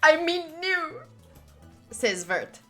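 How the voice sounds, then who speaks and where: An American woman streamer with a high, bright voice, reading a visual novel aloud and chatting. Her manner is playful and theatrical, and she takes her time. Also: very animated, very slow, soft background music. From United States.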